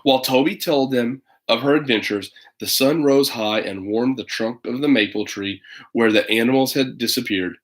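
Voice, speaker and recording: A man reading a children's picture book aloud in an animated, dramatic way, with chatty asides to a young listener. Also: medium pitch, low-quality recording. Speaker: storybook reader.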